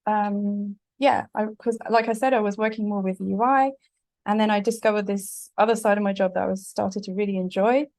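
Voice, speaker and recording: A woman with a southeast London accent gives a talk as a guest speaker. Her delivery is relaxed and conversational, full of "um"s and restarted sentences, at a middling pitch. The recording is dry and close, with no room sound. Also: no room sound. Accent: British, southeast London. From England. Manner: casual, with frequent fillers and restarts. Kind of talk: presentation talk.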